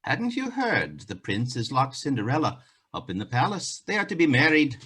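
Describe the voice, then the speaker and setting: A man's voice: medium pitch, huge pitch swings, normal speed, bright, forceful tone, husky, heavily acted. A man acting a wry bartender and onetime giant killer in a fairy-tale stage play, speaking emphatically.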